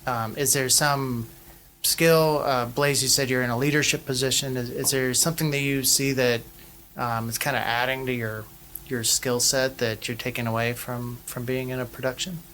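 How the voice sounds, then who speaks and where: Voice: mid-range voice, little pitch movement, normal speed, a bit bright, slight background noise. An interviewer asking students rambling, conversational questions. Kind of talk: casual interview.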